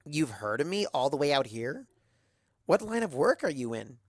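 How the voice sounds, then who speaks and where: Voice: medium pitch, sing-song, moderate pace, dry recording, clean studio sound. A performer voicing an earnest, polite, somewhat puzzled young unicorn mare in a storybook reading, hesitant and courteous. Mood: curious.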